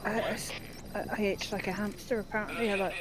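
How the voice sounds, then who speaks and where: A woman with a Southern English accent chatting casually in a deadpan way. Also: medium pitch, fast talker. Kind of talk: casual chat. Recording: noisy stream audio.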